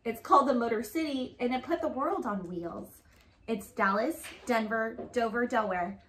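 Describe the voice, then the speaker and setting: A woman in her thirties hosting a children's story time, reading aloud and asking questions in animated, child-directed talk. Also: fairly high pitch, moderate pace, a little hiss or hum. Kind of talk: picture-book read-aloud and chat for children. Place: living room, indoors. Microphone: camera a few feet away. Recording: echoey room.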